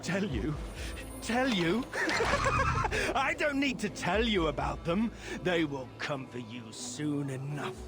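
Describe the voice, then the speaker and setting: An actor playing a fearful, raving villager tormented by nightmares in a video game, ominous and theatrical. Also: male voice, sits high, big pitch swings, measured pace, over music, exaggerated voice. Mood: ominous, unhinged. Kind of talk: video game character acting.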